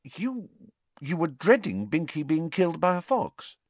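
Audiobook role: logical, insistent teenage schoolgirl, high and lively, performed by a man. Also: unhurried, no room sound, full character voice. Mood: hesitant, questioning. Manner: insistent, matter-of-fact arguing.

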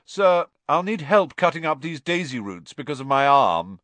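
A man's voice: mid-range voice, big pitch swings, measured pace, a bit bright, dry recording, voice pushed up. A man reading a storybook as a spoiled, smirking teenage boy who drawls with whiny false pity. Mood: whiny, wheedling. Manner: drawling, mock-sorrowful.

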